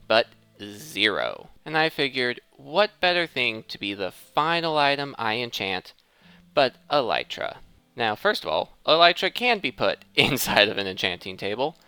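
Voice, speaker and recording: A man's voice: mid-range voice, pushed and bright, clean tone, dry recording. A man giving casual, playful gameplay commentary as the host of a let's play.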